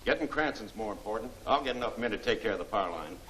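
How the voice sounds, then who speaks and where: An actor playing a shrewd, bossy gangster lieutenant in a 1940s film serial, curt and hard-nosed. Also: male voice, lowish voice, animated, fast talker, close to an everyday voice. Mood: commanding. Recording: noisy old film soundtrack, distant mic.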